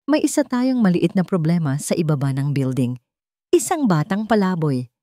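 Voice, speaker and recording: Woman acting as rich male villain, Filipino accent, low, calm, controlling, quietly menacing, weighted words, audiobook, dry sound. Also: normal speed, no room sound. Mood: calm menace. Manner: calm, weighted words without visible anger.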